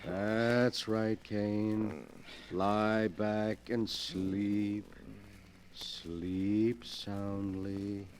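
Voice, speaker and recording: A suave male schemer from a 1940s radio melodrama. He speaks slowly in a fairly low voice, smooth and patronising. Underneath the soothing manner he is cold and calculating. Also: little pitch movement, a little hiss or hum. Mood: calm, coaxing. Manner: smooth, condescending, unhurried. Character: cold, cunning gentleman schemer, suavely soothing his victims. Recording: 1940s radio broadcast recording.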